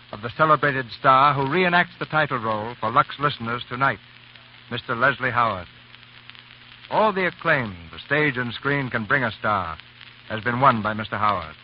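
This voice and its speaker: A man presenting a radio theatre in formal 1930s style, narrating in a grand, deliberate, dramatic manner. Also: mid-range voice, a little hiss or hum. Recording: muffled 1930s radio recording, music behind.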